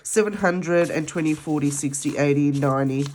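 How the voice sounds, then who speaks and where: A woman's voice: low-pitched, normal speed, a little mellow, a little hiss or hum. An Australian woman vlogging at home, nasal from a head cold, chatting in a rambling way while she counts cash. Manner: rambling, chatty, with many ums. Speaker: budgeting vlogger.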